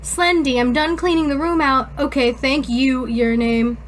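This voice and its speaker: A woman's voice: high-pitched, steady tone, unhurried, slight background noise. A woman co-hosting a podcast, chatting casually and reading fan fiction aloud in an irreverent, slangy way. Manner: irreverent, slangy, swears freely. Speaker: podcast co-host.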